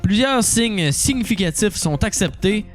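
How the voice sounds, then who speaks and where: A man's voice: high voice, animated, measured pace, faint music behind. A man with a Québécois French accent co-hosting a comedy podcast, delivering satirical mock news and often giggling at his own jokes. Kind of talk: satirical mock newscast with banter.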